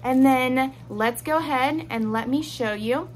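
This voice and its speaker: High-voiced woman demonstrating a product, some noise. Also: measured pace. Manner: chatty, instructive, often checks in with "okay". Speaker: product reviewer. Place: small indoor room at home, seated.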